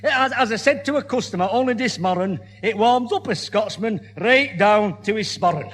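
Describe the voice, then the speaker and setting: High-voiced, excitable shifty market trader. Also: male voice, normal speed. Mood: sly, salesmanlike. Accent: Northern English. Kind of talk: 1970 British radio sitcom acting. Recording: old radio recording, faint hiss.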